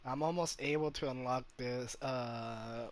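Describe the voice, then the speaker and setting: A man's voice: medium pitch, fairly even intonation, unhurried. A man giving casual gameplay commentary as a streamer. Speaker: gaming streamer. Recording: loud game music over voice.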